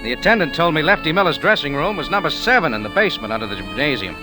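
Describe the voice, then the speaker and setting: An actor playing a wisecracking, unflappable cafe owner and amateur sleuth, wry and casual, in a 1940s radio detective style. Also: male voice, a little high, big pitch swings, rapid-fire, music in the background. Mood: hard-boiled narrating. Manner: wry, hard-boiled, casual. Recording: old radio drama recording.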